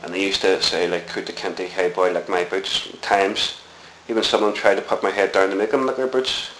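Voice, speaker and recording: A man's voice: low-pitched, flat delivery, brisk, a bit bright. A middle-aged man from Northern Ireland with a Belfast accent, recalling his childhood in a reflective, rambling way with many fillers. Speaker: interviewee. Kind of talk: oral history interview. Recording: echoey room with faint hiss.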